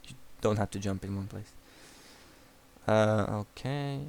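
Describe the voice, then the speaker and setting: A man's voice: fairly low pitch, unhurried, darkish tone, slight background noise. Blunt Croatian-accented man coaching. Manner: blunt and profane, frequent restarts. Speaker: game coach. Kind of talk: replay analysis commentary.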